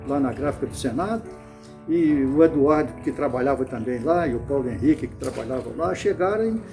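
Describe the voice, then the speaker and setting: An older man from Bahia, Brazil, recalling his past in an interview, unhurried and often restarting or repeating words. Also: medium pitch, expressive, creaky, warm tone, faint music behind. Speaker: interviewee, club founder. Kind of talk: reminiscing interview.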